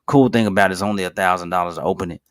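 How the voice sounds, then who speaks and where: Man, 30s, very low voice. Casual, rambling online host who stops to correct himself. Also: racing. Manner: rambling, self-correcting, with frequent fillers and restarts; informal, addresses viewers as "guys". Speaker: finance video host. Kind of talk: casual screen-share commentary.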